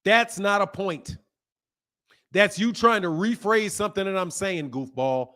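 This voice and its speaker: A man's voice: high-pitched, a little slow, a bit bright. A man in his fifties hosting a boxing channel, scolding and insulting a caller in a heated live argument. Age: 50s. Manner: insulting, scolding, repeats himself.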